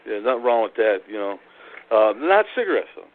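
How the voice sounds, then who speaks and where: A hoarse man hosts a casual chat, trading jokes with his guests. His voice comes through thin, like a phone line. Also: lowish voice, big pitch swings, fairly quick. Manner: joking, banter-heavy. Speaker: host. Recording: tinny phone-like sound.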